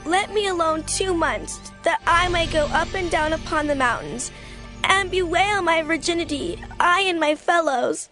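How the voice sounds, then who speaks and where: A woman's voice: very high voice, measured pace, sharp tone, soft background music, heavily acted. A woman acting a dutiful, sorrowful young daughter in a dramatized scripture, speaking earnest, submissive lines in archaic English. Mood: pleading, sorrowful.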